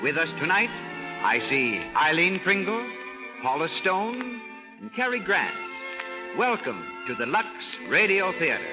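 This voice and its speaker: Male 1930s radio announcer, formal and high-voiced, loud music underneath on a vintage broadcast. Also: sing-song, unhurried, low-quality recording.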